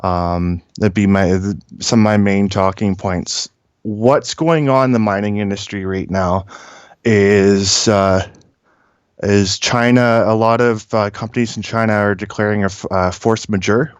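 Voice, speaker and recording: A man with a fairly low, smooth voice in a dry, close sound gives informal news commentary as a guest. He talks haltingly, filling gaps with ums, and states his opinions bluntly. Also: fairly even intonation, no room sound. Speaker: guest caller, mining industry technician.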